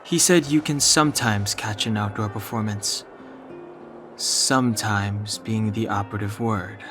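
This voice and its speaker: An actor playing a level-headed, serious companion in a video game, terse and dryly matter-of-fact. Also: male voice, lowish voice, steady tone, a little slow, fairly bright tone, faint music behind. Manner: terse, dry, sober.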